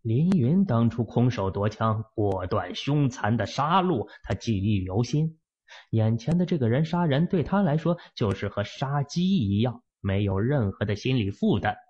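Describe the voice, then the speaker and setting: A man's voice: moderate pace, slightly rough, lo-fi sound. A man narrating a fantasy novel as an audiobook, telling the story expressively. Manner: expressive storytelling with lively pitch swings.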